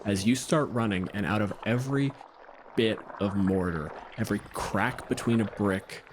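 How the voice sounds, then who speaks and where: A man's voice: lowish voice, moderate pace, background noise. A man as game master, giving rulings and narrating action in short, dramatic statements.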